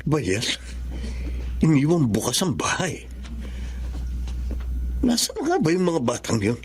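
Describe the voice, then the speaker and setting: A wronged, caring Filipino dad in a radio drama. He is earnest and sorrowful, with a medium-pitched voice that rises and falls a lot, over clear background noise. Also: huge pitch swings, measured pace, heavily acted. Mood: worried, searching. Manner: earnest, emotive. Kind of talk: Tagalog radio drama acting. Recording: noisy, with ambience behind.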